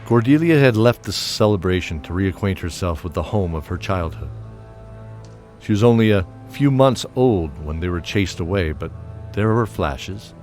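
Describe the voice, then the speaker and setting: A man narrating a fantasy audio drama in a measured, scripted storytelling style with dramatic pauses. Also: sits low, expressive, a little mellow, faint music behind.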